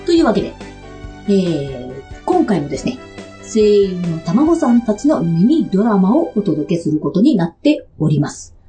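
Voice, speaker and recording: A woman radio host delivers a chatty monologue with lively, swinging intonation. Her tone is mellow and polite with playful touches, over soft background music. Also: mid-range voice, moderate pace.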